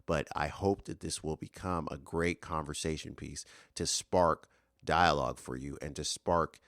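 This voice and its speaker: A man's voice: a bass voice, fairly even intonation, a little slow, creaky, a bit bright, no room sound, clean studio sound. A man in his thirties hosting a solo motivational podcast, earnest and rambling with fillers and restarts. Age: 30s. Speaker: podcast host.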